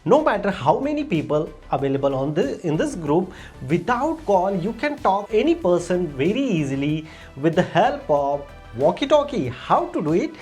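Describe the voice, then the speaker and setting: A low-voiced woman with an Indian accent walks viewers through a screen demonstration. Her pitch moves in an animated way, and she stresses the start of each simple instruction. Faint music plays behind her. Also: normal speed. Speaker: tech tutorial host. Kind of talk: step-by-step screen demonstration narration.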